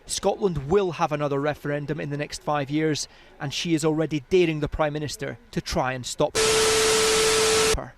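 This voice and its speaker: A man's voice: a little high, moderate pace, a bit bright. A man delivering a broadcast news report as a reporter.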